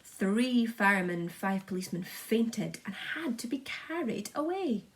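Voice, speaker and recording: A woman reads a children's story aloud in an expressive, teacherly way. Her telling is dramatic, and she now and then trips on a word and carries on. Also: mid-range voice, a little slow, poor audio. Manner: dramatic, with occasional stumbles over words. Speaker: schoolteacher reading aloud.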